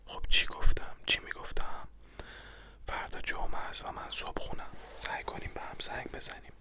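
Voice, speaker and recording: A narrator voicing a chatty, scatterbrained caller leaving a message in a stage play, in a whispered voice. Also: close to an everyday voice. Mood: breezy, rambling.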